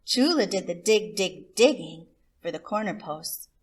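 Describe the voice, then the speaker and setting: A woman reading a picture book aloud to children as host, expressive, with deliberate emphasis on words. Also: mid-range voice. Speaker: children's story reader and host.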